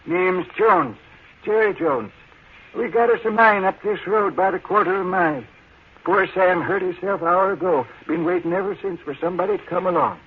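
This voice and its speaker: An actor playing an old, desperate rural American miner in a vintage radio drama, with folksy, clipped speech. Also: male voice, high-pitched, brisk, breathy edge. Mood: folksy, pleading. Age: older. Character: old rustic miner turned desperate gunman. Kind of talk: 1950s radio drama acting. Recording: vintage radio recording, tinny narrow band.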